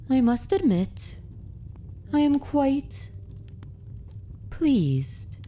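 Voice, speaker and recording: A woman playing an aristocratic vampire mistress in an ASMR roleplay, predatory yet consoling, speaking formally and deliberately in a soothing way. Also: fairly high pitch, dark tone. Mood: intrigued, composed. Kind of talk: ASMR roleplay, scripted dramatic monologue.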